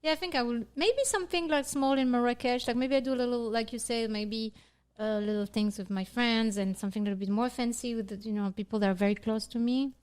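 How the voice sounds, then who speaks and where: A woman's voice: on the high side, fast talker, hardly any pauses, a little mellow, clean tone. A woman from Morocco co-hosting a casual podcast chat, laughing often and restarting her sentences. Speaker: podcast co-host.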